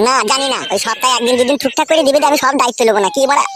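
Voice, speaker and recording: A man's voice: very high-pitched, very fast, a crowd in the background. A man voicing an aggrieved, sulky young married son in a comic cartoon, ranting crudely and argumentatively. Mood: defiant. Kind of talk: comic cartoon dialogue in village family quarrel.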